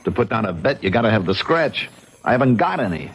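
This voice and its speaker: An actor playing a weary, debt-ridden husband in a radio drama, anguished and apologetic in a colloquial way. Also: male voice, lowish voice, very animated, fast talker, slightly rough, exaggerated voice, low-quality recording. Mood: anxious. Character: desperate, self-pitying, debt-ridden husband, weary working man. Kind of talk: 1970s radio drama acting.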